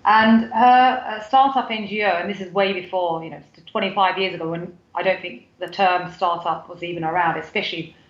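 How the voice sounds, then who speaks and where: A woman's voice: lowish voice, brisk, few pauses, echoey room, highs cut off. A woman from the UK with a British accent, a guest on a podcast interview, talking in a chatty way with many fillers and restarts. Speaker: guest, foundation CEO.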